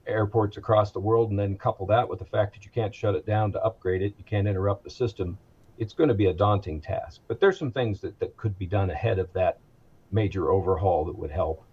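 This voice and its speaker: An American man speaking as an expert guest in an interview, explaining things in a measured, matter-of-fact way. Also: lowish voice, slightly rough, lo-fi sound. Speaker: aviation executive, interview guest.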